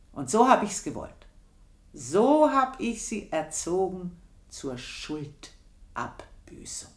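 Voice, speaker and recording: Vengeful pious old servant woman, southern German or Austrian accent, radio drama, very slow, roomy echo. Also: deep voice. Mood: cold, grimly satisfied. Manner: deliberate, intense, drops into whispers. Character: bitter, vengeful old housekeeper, pious and unforgiving.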